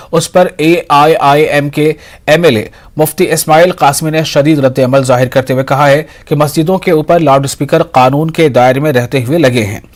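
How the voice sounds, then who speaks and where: A man reading scripted news in a formal style as an anchor. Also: on the high side.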